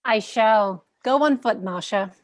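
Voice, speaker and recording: A woman playing a meek, apologetic schoolteacher husband in a halting way, in a play reading over a video call. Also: mid-range voice, lightly acted. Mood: apologetic, resigned. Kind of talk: cold read of a classic stage play.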